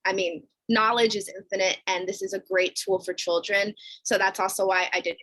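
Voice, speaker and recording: A woman's voice: mid-range voice, fairly even intonation, normal speed, a bit bright, poor audio. A young woman from New York City, chatty and informal, introducing herself as a guest author on a panel. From New York City, United States. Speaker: guest author and educational mentor.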